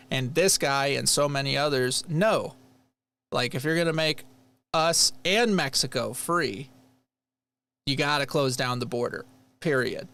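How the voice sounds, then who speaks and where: A man's voice: medium pitch, gravelly. A man from Rochester, New York, hosting a livestream and chatting earnestly as he reacts to a replay. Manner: earnest, rambling, animated. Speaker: livestream host. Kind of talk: casual chat reacting to a replay.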